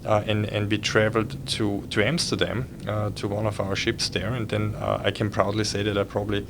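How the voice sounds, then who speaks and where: A man's voice: fairly low pitch, completely flat delivery, fairly quick, creaky, noisy background. A middle-aged man with an Austrian accent, a cruise executive speaking hesitantly with many fillers and restarts. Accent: Austrian German. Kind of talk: podcast conversation.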